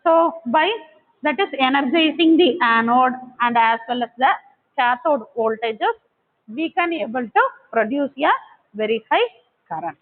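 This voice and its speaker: A woman giving a technical lecture, explaining step by step in short, halting phrases. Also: sits high, expressive. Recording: tinny, phone-like narrowband audio.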